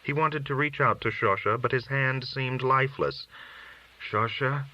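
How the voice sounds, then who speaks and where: A man narrating a literary story in a measured, solemn reading style. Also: medium pitch, sharp tone, highs cut off. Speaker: audiobook reader.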